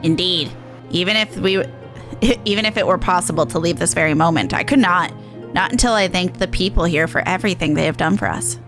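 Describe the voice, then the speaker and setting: A woman playing a gentle, grateful female refugee, reading game dialogue in a polite, earnest and formal way. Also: fairly low pitch, a little fast, over music, natural voice.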